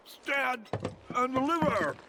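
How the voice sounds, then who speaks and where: A man's voice: extremely high pitch, expressive, takes it slowly, heavy background noise, exaggerated voice. A man voicing a bombastic otherworldly giant warrior in a game, declaiming theatrically in archaic speech. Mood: defiant, grandiose. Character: bombastic otherworldly giant warrior raging over stolen relics. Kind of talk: video game cutscene acting.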